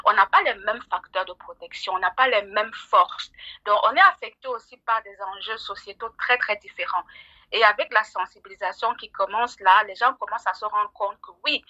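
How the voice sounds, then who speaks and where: A middle-aged woman speaks as an expert guest, explaining in a conversational way. Her voice is bright and forceful, and the recording is dry and a little dull. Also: mid-range voice, normal speed, breathy edge, no echo. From Cameroon. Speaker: psychologist guest.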